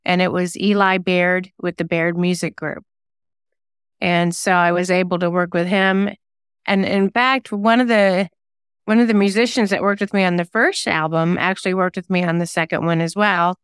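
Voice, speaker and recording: A woman's voice: lowish voice, fairly even intonation, normal speed, smooth, no echo, studio quality. A woman who writes songs, chatting casually as an interview guest. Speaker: singer-songwriter guest.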